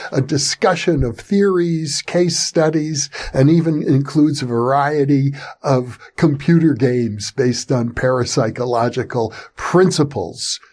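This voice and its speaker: A man hosts an interview and introduces his guest in a measured, formal manner. His voice sits a little high for a man. He then moves into easy back-and-forth chat. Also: a little mellow. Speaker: host, interviewer. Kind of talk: interview hosting.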